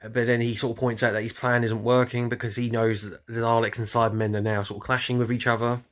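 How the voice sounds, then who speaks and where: A man's voice: mid-range voice, flat delivery, fast talker. A man talking casually and off the cuff as an online reviewer, rambling loosely and often trailing off or correcting himself. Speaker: YouTube reviewer. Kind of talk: casual unscripted audio drama review.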